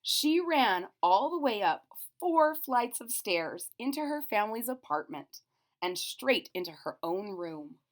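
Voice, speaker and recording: Woman reading a picture book to little kids, slow and really expressive, explains stuff simply. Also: mid-range voice. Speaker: storyteller. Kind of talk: children's read-aloud with explanatory asides.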